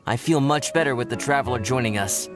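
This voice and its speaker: An actor playing a friendly, admiring adventurer in a video game. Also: male voice, medium pitch, fast, music in the background, natural voice. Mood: relieved, admiring.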